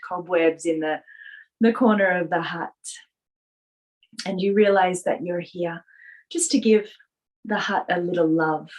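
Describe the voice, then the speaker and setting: A woman leading a guided visualisation meditation, speaking softly in a gentle, soothing manner with drawn-out pauses. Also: lowish voice, rough recording.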